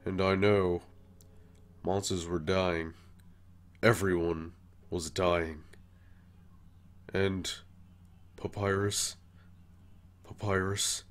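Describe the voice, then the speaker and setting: A man's voice: low voice, little pitch movement, very slow, pauses often. A man voicing a laid-back, weary skeleton brother in a comic dub, with a casual, understated drawl. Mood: anguished. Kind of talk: animated comic dub acting.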